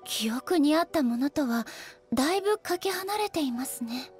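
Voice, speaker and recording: An aloof, composed goddess in an anime game. Her woman's voice sits high and stays polite and formal, every phrase measured and proud. Gentle game music plays behind her. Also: lively intonation. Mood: calm, curious.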